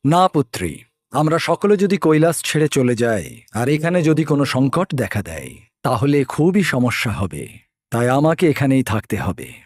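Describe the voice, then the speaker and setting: A man plays a solemn father god in a mythological cartoon. The voice is mid-pitched with expressive rises and falls. He sounds measured and authoritative, recorded dry. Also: no room sound. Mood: solemn, protective. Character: calm, solemn father god blessing his children.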